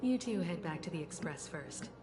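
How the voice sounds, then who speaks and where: A woman playing a polite, composed navigator in a video game, speaking in a measured, courteous and formal way. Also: on the low side, animated, over music, natural voice. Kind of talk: video game character acting.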